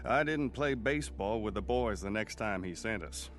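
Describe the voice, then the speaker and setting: Narrator: plainspoken country man, folksy first-person storytelling, lively intonation, faint music bed. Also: lowish voice, moderate pace. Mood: reminiscing. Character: plainspoken country man recalling his hill boyhood.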